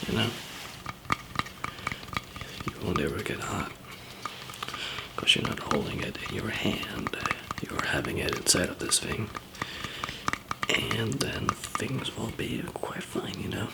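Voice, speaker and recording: A man softly whispering and murmuring in an ASMR roleplay, explaining things gently and haltingly as a survivor. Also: sing-song, heavy background noise. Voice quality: soft whispering mixed with low murmur, gravelly. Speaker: ASMR roleplayer playing a survivor camp member handing out supplies.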